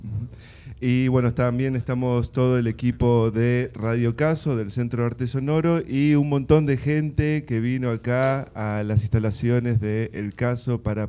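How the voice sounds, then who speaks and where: Male radio interviewer, Argentine accent, relaxed and mellow, medium-pitched voice. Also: smooth. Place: radio station session with a present audience.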